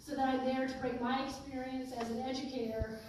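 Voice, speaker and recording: A woman's voice: high voice, fairly even intonation, brisk, darkish tone. A woman running for Congress, answering audience questions at a town hall in an earnest, conversational way, turning emphatic when she defends herself. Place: community town hall meeting room. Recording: echoey hall, voice from far off, noisy.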